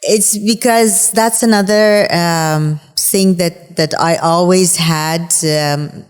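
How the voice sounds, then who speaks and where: Slow-talking woman, native Farsi speaker. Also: lowish voice, fairly even intonation, clear, resonant tone, big-room sound. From Bahrain. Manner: reflective, halting with frequent fillers. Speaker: guest. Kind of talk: conversational interview.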